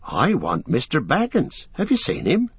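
A lively young hobbit, as a man puts him on, pitched well above the narrator's voice. He talks in a breezy, teasing way, bouncing through his lines, on a dull, dry recording. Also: unhurried, husky, no echo, exaggerated voice.

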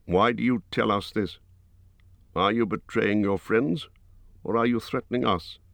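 Grim blunt leader guy, read by a man, low gravelly voice. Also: a little slow, fairly bright tone, no room sound. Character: stern grim male human leader.

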